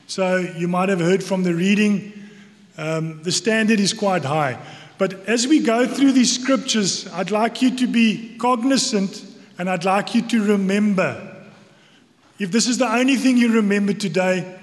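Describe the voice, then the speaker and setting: A man with a South African accent giving a sermon as a church elder, speaking earnestly in deliberate phrases with repetition for emphasis. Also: high voice. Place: church hall. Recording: long reverberant hall echo.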